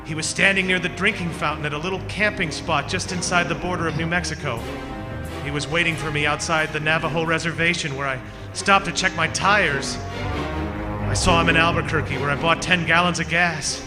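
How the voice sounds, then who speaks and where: An actor playing a haunted, desperate driver in old-time radio drama, dramatic and fearful. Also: male voice, fairly high pitch, fairly even intonation, fairly quick, lightly acted. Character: haunted, desperate male driver telling his eerie story. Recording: long hall reverb, music behind.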